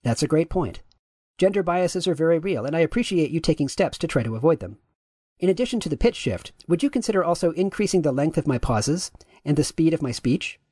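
Man reading a polite androgynous AI chatbot, pitch-shifted up, quick delivery, dry sound. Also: lively intonation, dark tone, no room sound. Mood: polite, agreeable. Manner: courteous, affirming, asks follow-up questions. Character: polite agreeable AI chatbot, gender-neutral. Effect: pitch raised.

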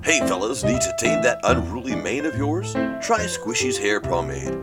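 A man playing a brash, hearty pitchman in a 1930s-style radio commercial, salesy and emphatic. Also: sing-song, normal speed, natural voice. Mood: peppy, salesy. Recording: loud music under voice.

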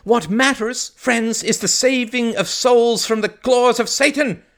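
A man's voice: extremely high pitch, unhurried, a bit bright, pitched above the performer's own voice. A man voicing a fervent village priest who preaches in an impassioned, rhetorical manner. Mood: fervent preaching. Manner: impassioned, sermonizing, rhetorical questions. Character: fiery preaching village priest, male, middle-aged.

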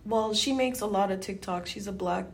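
A woman's voice: medium pitch, moderate pace, slight background noise. A woman from Guyana chatting casually as a podcast co-host, explaining things in an informal way. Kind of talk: casual chat.

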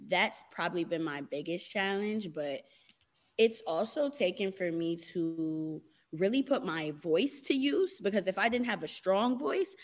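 A young woman talks loosely and candidly as a guest, her voice sitting a little low, breaking into easy laughter and sprinkling "y'all" and "like" through her answers. The tone is casual and conversational. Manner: relaxed and candid, laughs often, says "y'all" and "like". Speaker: guest, dancer and model. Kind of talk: casual interview chat.

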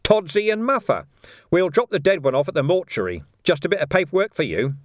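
A man playing a sardonic police commander, lively pitch. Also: normal speed, slight background noise. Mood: cheerful sardonic. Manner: mock-friendly, sardonic, needling. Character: wily, sardonic male police commander toying with a suspect.

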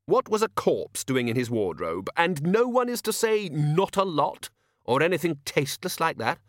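A man's voice: a little high, sing-song, moderate pace, a bit bright, dry recording, studio quality, voice pushed up. A man voicing a pompous, hearty older male wizard who runs a college, in an audiobook. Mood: dismissive. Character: blustering, self-assured older male wizard, head of college. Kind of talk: audiobook character acting.

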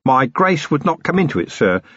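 Brief: narrator as a dry-witted copper, husky, formal and measured. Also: male voice, a little high, big pitch swings, a bit bright, no echo, pitched above the performer's own voice, full character voice. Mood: wry. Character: dry, wry middle-aged male city policeman. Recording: dry, slightly dull recording.

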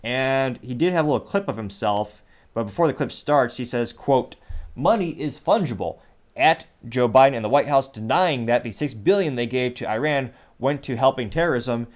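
A man hosts his own commentary show, his voice sitting at an everyday middle pitch. He rambles, doubles back and corrects himself mid-sentence. It is captured on a small USB microphone at a home setup. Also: lively intonation. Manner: rambling, self-correcting, mentions stuttering and speaking fast. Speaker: show host.

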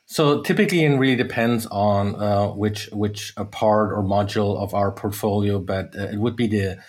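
Middle-aged man, lower register, measured interview guest, hesitant fillers, explains at length. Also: darkish tone. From United States. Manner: measured, many fillers and restarts. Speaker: guest, sales executive. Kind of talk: podcast interview.

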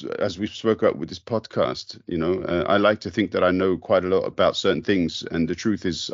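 A man with a very low, deep voice talks slowly and thoughtfully, often stopping to restart. The sound is dry and close, with a dull, muffled quality. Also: no room sound. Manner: thoughtful, hesitant, many restarts. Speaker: podcast host. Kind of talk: reflective conversation.

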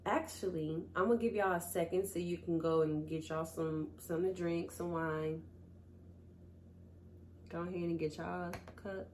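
A woman's voice: fairly low pitch, fairly even intonation, normal speed, darkish tone, slight background noise. A young woman hosting her own podcast, talking casually to her listeners and calling them y'all and baby, still getting over a cold. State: recovering from a cold. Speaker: podcast host. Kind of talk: casual solo talk to listeners.

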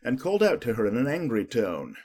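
Husky, expressive male storybook narrator. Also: medium pitch, brisk. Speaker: audiobook narrator.

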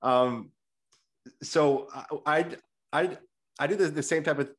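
A man from Canada hosting a casual interview, speaking haltingly with many fillers and restarts. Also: a little high. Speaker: podcast host.

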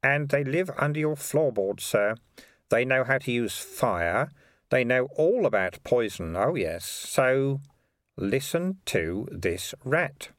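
Brief: slick, threatening male cat, played by the narrator; calm, persuasive. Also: mid-range voice, slow pace, no echo, clean studio sound. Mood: menacing. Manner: smooth and quietly threatening. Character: cool, menacing male cat with a pipe.

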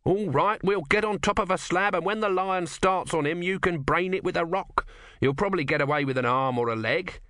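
A man's voice: high voice, a little fast, fairly bright tone, no room sound, studio quality, voice pushed up. A man voicing a bossy, cynical small creature who is sardonic, evasive and pragmatic in an audiobook story. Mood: callous, persuasive.

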